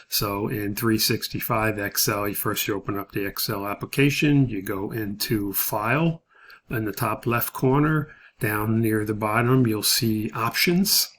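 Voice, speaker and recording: A man's voice: lowish voice, a bit bright, a little hiss or hum. A man teaching as a college instructor, explaining assignment steps in an informal, matter-of-fact, methodical lecture.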